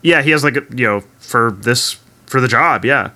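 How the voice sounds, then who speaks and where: Male podcast host, bright forceful tone, casual plot recapper, swears freely. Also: medium pitch, normal speed. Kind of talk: casual chat recapping a TV series.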